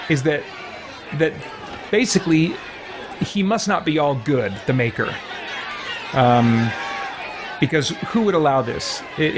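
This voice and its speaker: A man's voice: on the high side, background noise. Thoughtful male streamer over background murmur. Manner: halting, thoughtful, explanatory. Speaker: game streamer. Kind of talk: reflective live stream commentary.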